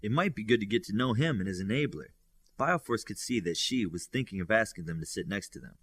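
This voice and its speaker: A man's voice: on the low side, animated, fast, fairly bright tone. A man reading scripted narration from a superhero novel as an audiobook reader.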